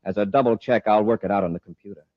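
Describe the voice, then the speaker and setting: An actor playing a commanding space patrol commander with clipped authority in 1950s radio drama style. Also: male voice, lowish voice, rapid-fire, no echo, close to an everyday voice. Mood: thoughtful. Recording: muffled old radio recording with hiss.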